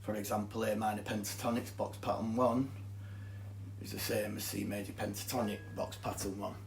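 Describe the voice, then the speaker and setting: Yorkshire bloke teaching guitar, deep gravelly voice, methodical, echoey room. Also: male voice, steady tone, fairly quick, a little hiss or hum. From Yorkshire, England. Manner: plain, methodical explaining. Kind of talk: guitar tutorial. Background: guitar music.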